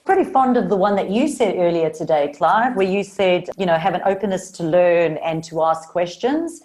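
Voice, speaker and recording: A woman's voice: fairly low pitch, lively intonation, moderate pace, darkish tone. A woman with a South African Australian accent, a marketing coach speaking as a guest in a podcast interview, earnest and upbeat.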